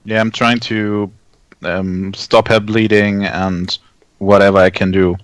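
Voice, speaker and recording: A man's voice: lowish voice, flat delivery, takes it slowly, no room sound, poor audio. A man chatting as a player in a tabletop game, repeating phrases as he describes what his character does. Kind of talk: casual game chat.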